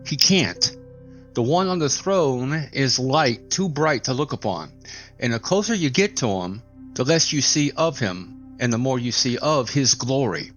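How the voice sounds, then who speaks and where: A man with a mid-pitched voice explains scripture as a scripted, dramatic narration. His phrasing is solemn and deliberate, with emphatic pauses. Soft music plays behind him. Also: animated.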